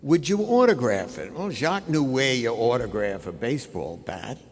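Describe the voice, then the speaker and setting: An older man giving an anecdotal tribute speech at a ceremony, in a measured, halting way with pauses. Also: medium pitch, big pitch swings. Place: large indoor ceremonial hall. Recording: reverberant large hall.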